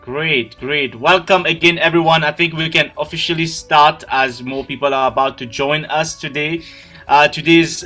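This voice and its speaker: A man hosts an online business webinar, warmly calling out attendees one by one. His voice is bright and forceful. Faint music plays behind him on the video call. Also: a little high. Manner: friendly, greets attendees by name. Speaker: webinar host. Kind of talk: welcoming webinar hosting.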